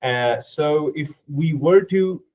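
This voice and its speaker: A man's voice: on the high side, takes it slowly, darkish tone, muffled recording. A man whose native language is Urdu, informally explaining a technical subject as a podcast guest in a didactic way, often checking in with the listener.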